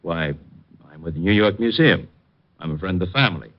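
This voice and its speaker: A mild, formal family friend. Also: male voice, deep voice, lively intonation, a little fast. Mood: polite. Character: polite, reserved family friend. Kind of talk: 1940s radio acting. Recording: dull lo-fi old radio recording.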